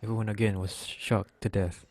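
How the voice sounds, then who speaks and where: A man serves as narrator for a reader's theater drama. His voice sits fairly low. He delivers scripted storytelling lines between the acted parts. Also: flat delivery, normal speed, a little mellow, a little hiss or hum.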